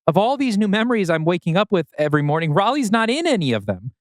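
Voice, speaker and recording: A man acts out a troubled father in his thirties in an audio drama reading. His delivery is animated and intense, pressing with bitter, pointed questions in a close, dry recording. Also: sits high, fast talker, no room sound. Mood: anguished. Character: anguished 39-year-old father, bitter and accusing.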